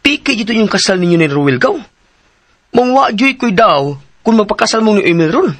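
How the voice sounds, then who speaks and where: An astonished, inquisitive Cebuano cousin in radio drama; high male voice, very lively, dry studio sound. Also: normal speed, dry recording, heavily acted. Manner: animated, exclaiming, questioning. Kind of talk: Philippine radio drama acting.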